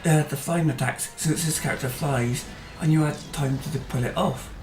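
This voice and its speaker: A casual gaming host talks loosely over gameplay, restarting sentences and filling pauses with "uh" as his voice wears down. The recording rings in a roomy space, with faint music under the speech. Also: medium pitch. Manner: chatty and rambling, with hesitant fillers and restarts. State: voice tiring.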